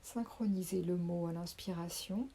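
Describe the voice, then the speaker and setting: A woman leading a guided sophrology relaxation, speaking softly and calmly and sometimes dropping to a whisper. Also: on the low side, slightly rough. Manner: slow, soft, calming, with whispered phrases. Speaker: sophrology teacher.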